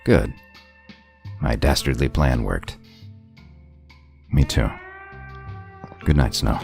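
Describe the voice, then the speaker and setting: A man acting a gruff, wry suitor in an audio drama, terse and deadpan. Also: extremely low pitch, slow, heavily acted. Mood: wry, tender. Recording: guitar music behind the voice.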